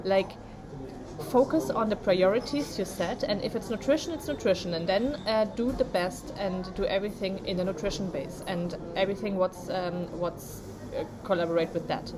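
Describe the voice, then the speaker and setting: A woman co-hosting a casual motivational podcast, speaking in halting English with non-native phrasing and many fillers. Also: mid-range voice, crowd noise. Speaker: podcast co-host.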